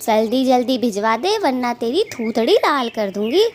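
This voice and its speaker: Woman as scolding bird wife for kids' cartoon. Very high voice, bossy, taunting, theatrical. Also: very animated, normal speed, darkish tone, slight background noise. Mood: threatening, angry. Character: bossy nagging female bird, quick-tempered wife. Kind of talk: children's cartoon story dialogue.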